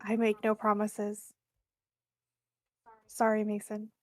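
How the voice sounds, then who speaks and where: Deadpan woman with flat intonation. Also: medium pitch, takes it slowly, dry recording. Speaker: tabletop game player. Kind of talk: casual in-character banter.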